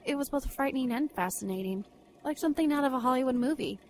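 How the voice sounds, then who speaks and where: A woman's voice: high voice, expressive, quick pace, darkish tone, slight background noise. An actress playing a curious, refined young society lady in a period radio drama, polite, composed and formal.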